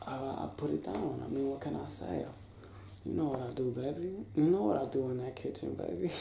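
A young man doing an affectionate ASMR boyfriend roleplay, soft-spoken, halting and playful, as if chatting in bed. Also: mid-range voice, creaky. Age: young adult. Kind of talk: ASMR boyfriend roleplay, improvised intimate chat. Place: small indoor bedroom, lying on a bed. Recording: roomy bedroom sound with faint hiss.

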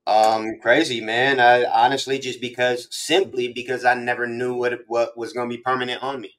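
Male host, middle-aged, American accent, mid-pitched voice; loose, halting, slangy interview chat over video call. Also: little pitch movement, fairly bright tone. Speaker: interviewer, host of a hip hop show. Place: small room full of memorabilia, indoors, on a video call.

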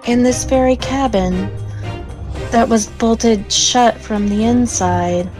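Woman co-player, slightly high voice, slow deliberate reads, game music behind. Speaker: co-player reading dialogue aloud. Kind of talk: casual reading of game lines.

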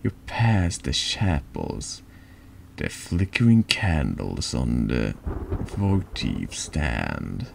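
A man with a deep voice narrating a horror text game in a deliberate, dramatic ASMR storytelling style. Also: gravelly, a little hiss or hum. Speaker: streamer reading a text adventure game aloud.

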